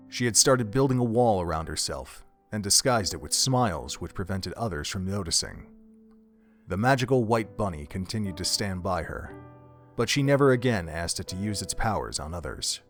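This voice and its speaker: A man with a fairly low voice narrates an audio drama. His delivery is solemn and literary, like scripted storytelling, with music faintly underneath.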